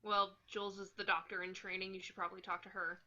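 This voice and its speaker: A woman's voice: mid-range voice, little pitch movement, fast talker, bright, forceful tone. A woman playing a guarded, dryly understated young college woman in a film script, on a muffled recording.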